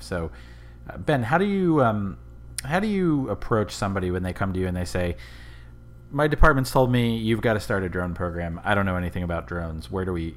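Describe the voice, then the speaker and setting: Male podcast co-host, very low pitch, brisk conversational delivery, light noise behind.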